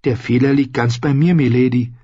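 A man acts out a shy young noble squire in an audiobook, with a raised, slightly husky voice. He speaks politely and solemnly, a little hesitant. The recording sounds dull. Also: medium pitch, measured pace, no echo, voice pushed up. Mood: solemn, embarrassed. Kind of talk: audiobook character voice.

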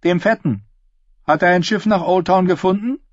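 A man's voice: sits high, normal speed, no echo, dull audio, pitched above the performer's own voice. A man voicing a direct, inquisitive young street girl in an audiobook. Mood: curious. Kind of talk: audiobook character voice.

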